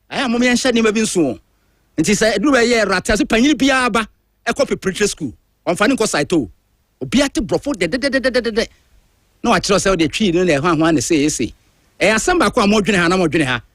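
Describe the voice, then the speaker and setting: A man from Ghana with a Ghanaian accent, hosting a radio drive show in a studio and talking in an emphatic, animated, opinionated way while switching between languages. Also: sits high, no room sound. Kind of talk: opinionated talk-radio monologue.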